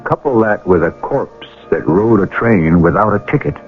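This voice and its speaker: Mystery host: very low male voice, widely swinging theatrical narration, music behind, old muffled radio recording. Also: animated, moderate pace.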